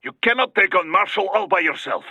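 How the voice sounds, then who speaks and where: An actor playing a blustering middle-aged boss in a game, raspy and emphatic. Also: male voice, high-pitched, animated, fairly quick, pushed and bright, dry recording, exaggerated voice. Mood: exasperated. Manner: emphatic, exclamatory. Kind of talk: video game cutscene acting.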